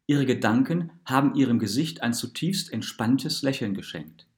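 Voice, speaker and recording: A man reading a literary, essayistic story as a narrator, in an expressive and deliberate manner. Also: medium pitch.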